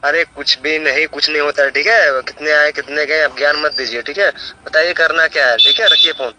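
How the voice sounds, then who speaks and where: A man's voice: a little high, fairly even intonation, brisk, background noise. A man working as a service agent, talking on an unscripted phone call in clipped, repetitive phrases.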